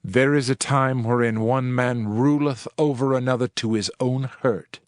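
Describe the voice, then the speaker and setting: A man playing a solemn, world-weary preacher in a dramatized scripture reading, with measured, archaic oratory. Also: mid-range voice, fairly even intonation, dry recording, studio quality, close to an everyday voice. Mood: solemn, reflective. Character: male preacher, solemn world-weary sage pondering wisdom and vanity. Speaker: narrator of a dramatized audio Bible. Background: music.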